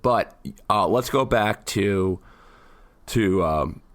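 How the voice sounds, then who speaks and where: A deep-voiced man, hoarse and raspy because he has the flu, co-hosting a casual podcast chat. He rambles and often restarts his sentences. The sound is dry and close, with no room around it. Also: animated, drawn-out words, no echo. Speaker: podcast co-host.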